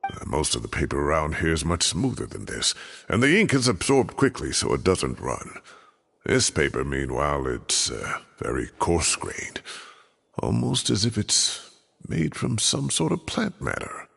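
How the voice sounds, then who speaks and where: Gravelly, theatrical old scholar. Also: male voice, rumbling low, huge pitch swings, moderate pace, fairly bright tone, long reverb tail, heavily acted. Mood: thoughtful. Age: older. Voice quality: gravelly, husky. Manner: theatrical, grumbling, musing. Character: genial, exasperated old retired male scholar. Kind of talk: video game voice acting.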